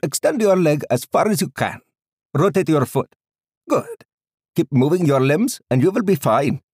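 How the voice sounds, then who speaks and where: Audiobook role: frail old doctor, Indian accent, raised pitch, brisk bedside manner, performed by a male narrator. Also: big pitch swings, a little mellow, husky, no room sound, studio quality. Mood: instructing. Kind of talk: audiobook character dialogue.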